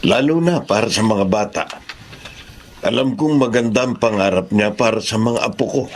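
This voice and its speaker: An actor playing a loving, wistful elderly Filipino grandfather in a sentimental drama, speaking gently and earnestly. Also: on the low side, moderate pace, a little hiss or hum, natural voice. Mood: gentle, accepting. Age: older. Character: loving elderly grandfather, wistful about his family leaving. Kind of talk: sentimental radio drama acting.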